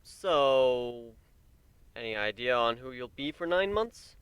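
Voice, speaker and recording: A man reads as a good-humoured male pony husband in a cartoon fan story. His voice is bright and clear at a middle pitch, in a close, dry recording. He speaks casually, with caring, wry teasing. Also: lively intonation, takes it slowly, no room sound. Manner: casual, gently wry, hesitant in places.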